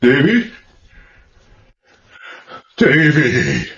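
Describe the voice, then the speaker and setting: A man's voice: mid-range voice, wildly swooping pitch, very slow, fairly bright tone, husky, dull audio, exaggerated voice. An actor playing a loving father overwhelmed with emotion in a radio drama. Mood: overwhelmed joy. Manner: emotional, fervent. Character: loving father overwhelmed by his child's return.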